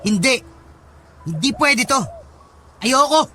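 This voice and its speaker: A man's voice: very high-pitched, expressive, slow pace, full character voice. A man playing a callous young boyfriend in a Filipino radio drama, curt, harsh and dismissive. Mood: defensive, cold. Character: callous young boyfriend refusing responsibility for pregnancy. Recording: dramatic music under the voice.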